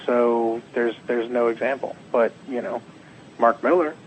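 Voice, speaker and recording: A young adult man, a comics writer being interviewed, slightly hoarse, talking in a rambling, animated way and acting out quotes. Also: medium pitch, a little slow, slight background noise, rough recording. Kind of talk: casual interview.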